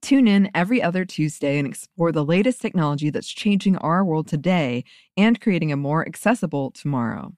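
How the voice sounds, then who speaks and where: An announcer reading a scripted commercial in a smooth, polished way. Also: medium pitch, expressive, brisk, darkish tone, dry recording, clean studio sound.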